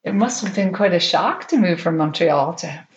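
A woman interviewer asks attentive, encouraging questions in a slightly low voice. The sound is roomy and a bit muffled. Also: expressive, normal speed, slight background noise.

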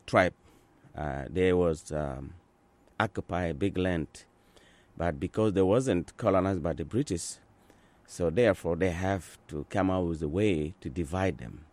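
A man in his sixties from Sudan, an Azande speaker talking in English, answering interview questions in an earnest, unhurried way with frequent pauses and restarts. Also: low-pitched, darkish tone. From South Sudan. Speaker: interviewee, translator. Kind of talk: conversational interview.

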